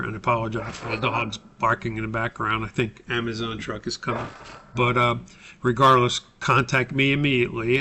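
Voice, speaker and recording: An American man giving an informal, step-by-step software tutorial as a course instructor, pausing mid-sentence as he explains. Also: mid-range voice, slight background noise. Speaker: course instructor recording a tutorial for his class.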